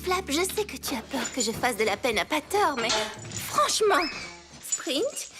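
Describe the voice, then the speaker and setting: A woman's voice: high voice, very animated, moderate pace. A woman voicing a shy, kind-hearted cartoon girl with an earnest, hesitant manner in a French dub. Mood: awkward, hesitant. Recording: clear music behind the voice.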